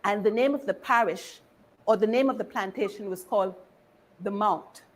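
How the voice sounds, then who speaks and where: A woman's voice: medium pitch, normal speed. Woman from Barbados giving a history talk. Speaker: lecturer on local history. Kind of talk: informal history lecture to an audience.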